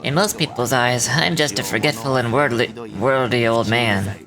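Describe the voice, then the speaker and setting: A modest old gentleman, voiced by an actor, speaks gently and without hurry. His voice sits in a middle register, and game music plays steadily beneath him. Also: medium pitch, lightly acted, poor audio. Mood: courteous. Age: older. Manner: gentle, unhurried, self-effacing. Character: genial elderly male official, modest and fond of fishing. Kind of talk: game voice acting.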